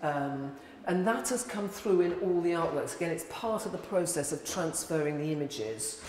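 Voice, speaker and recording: A woman speaking as an artist, informally explaining work in a hesitant way, pausing mid-thought. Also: low voice, expressive, darkish tone. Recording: echoey reverberant hall with background noise.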